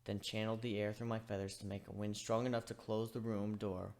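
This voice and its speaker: A man reading first-person fan fiction as narrator in a subdued, hushed delivery. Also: fairly low pitch, level tone, a little fast. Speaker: story narrator.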